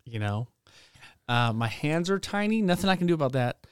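A man's voice: fairly high pitch, very animated, moderate pace, clean tone, no echo, clean studio sound. A man in his thirties, a pastor guesting on a podcast, playful and joking, laughing often. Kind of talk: casual chat.

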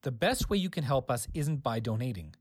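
Lively, husky male presenter, dry sound. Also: medium pitch, brisk, no echo. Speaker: presenter reading the closing credits. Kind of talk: scripted, friendly outro announcement.

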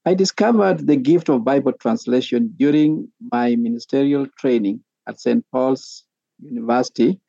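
Middle-aged kenyan pastor, slow careful explaining, gravelly voice, muffled dry lo-fi audio. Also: male voice, medium pitch, warm tone, no room sound. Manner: measured, methodical, with frequent pauses. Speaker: pastor and theology professor. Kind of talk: podcast interview guest.

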